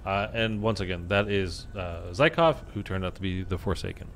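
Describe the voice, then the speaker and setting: A man's voice: sits low, normal speed, fairly bright tone, a little hiss or hum. A man casually commenting on a game as a streamer, loose and rambling with many fillers.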